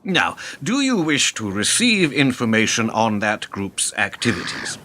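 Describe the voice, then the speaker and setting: A man's voice: on the low side, huge pitch swings, measured pace, noisy background. A man acting in a radio play as a smug, cynical older political fixer who talks in a pompous, wordy, condescending way. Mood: pompous, instructing.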